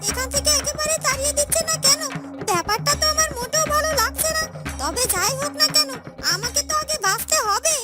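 A woman's voice: extremely high pitch, expressive, fast talker, over loud music. A woman playing a frightened, pleading young woman in a fairy-tale cartoon, repeating her words anxiously. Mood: suspicious, worried. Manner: breathless repetition of words, pleading.

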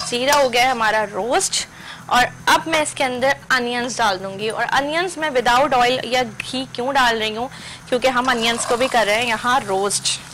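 A young woman with a slightly high voice teaches a recipe step by step on a TV cooking show. She speaks to viewers directly and instructively from a studio kitchen set. Her broadcast recording has steady noise and a sizzling pan behind her. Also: normal speed, poor audio. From Pakistan. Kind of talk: TV cooking demonstration.

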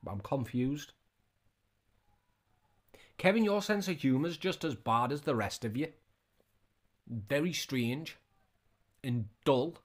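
A man's voice: mid-range voice, lively intonation, slow pace, long pauses. A man in his thirties giving casual, scornful reaction commentary, often repeating his questions. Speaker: reaction video host.

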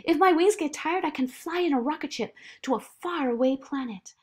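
A woman voicing an excitable, defiant little girl with big dreams in an animated picture-book read-aloud, eager and emphatic. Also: sits high, normal speed, voice pushed up, lightly acted. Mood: dreamy, excited.

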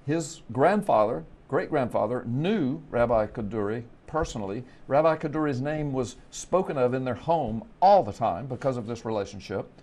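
Middle-aged pastor guy telling a story on a tv panel, gestures a lot, mid pitch. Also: normal speed, few pauses. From United States Gulf Coast. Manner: animated storytelling, gesturing with his hands. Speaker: pastor and author. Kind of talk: studio panel discussion. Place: TV studio set.

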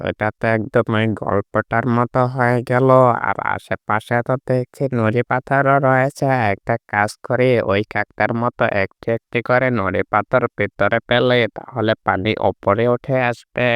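A man plays a talkative, naive crow in a cartoon fable, forever exclaiming and muttering complaints. The voice is very clear and resonant at a medium pitch, recorded dry. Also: steady tone, normal speed, few pauses, a bit bright, dry recording, close to an everyday voice. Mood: musing. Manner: colloquial, exclaiming, muttering to himself. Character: chatty, gullible male crow, easily bored and grumbling. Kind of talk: cartoon character dialogue.

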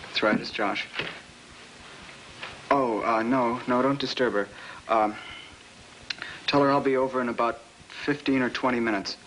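A young man playing a polite, guarded teenage son in a 1950s film drama, halting and understated. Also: medium pitch, steady tone, a little hiss or hum, close to an everyday voice, rough recording. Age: young adult. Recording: old film soundtrack under loud music.

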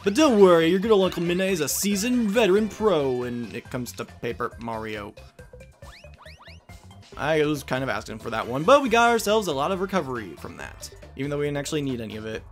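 A man's voice: on the high side, expressive, fairly quick. A man giving playful video game commentary as a Let's Play host, with jokey, self-deprecating asides. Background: game music.

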